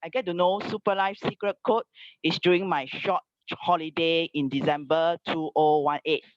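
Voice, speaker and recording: A woman's voice: sits low, fairly even intonation, moderate pace, no room sound. A woman from Singapore, giving a polite, formal testimony as a guest speaker. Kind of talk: testimony sharing. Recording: dry, dull online call audio.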